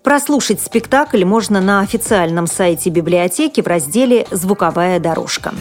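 A woman news presenter reading a scripted bulletin in a formal, measured way with careful enunciation. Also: lowish voice, expressive, faint music behind.

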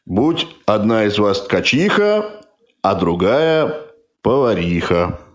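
Man acting slow, stately fairy-tale tsar. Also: fairly low pitch, big pitch swings. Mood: stately, commanding. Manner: measured, declamatory. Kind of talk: amateur audio play verse acting.

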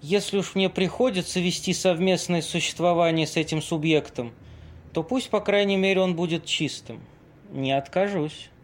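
An actor in an amateur audio play voicing Casanova's haughty, lamenting ghost stuck in a drunken tramp's body, with florid, theatrical speech. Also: male voice, high-pitched, moderate pace, a little hiss or hum, natural voice. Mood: disdainful.

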